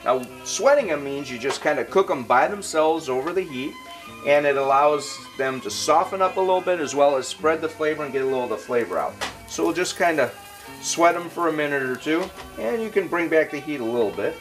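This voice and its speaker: A man with a Detroit accent hosts a homemade cooking show from his kitchen. He talks in a casual, chatty way, a little high in pitch, walking viewers through each step as he goes. Soft guitar music plays faintly behind him. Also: lively intonation, a little fast. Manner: chatty, explains steps as he works. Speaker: home chef and cooking show host. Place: home kitchen, indoors.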